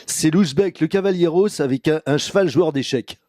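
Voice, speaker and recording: A man playing a chatty, brash showbiz agent pitching variety acts in slangy, insistent patter on a prank phone call. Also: normal speed, a little mellow, no room sound, clean studio sound, close to an everyday voice. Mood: excited, pushy. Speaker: prank caller.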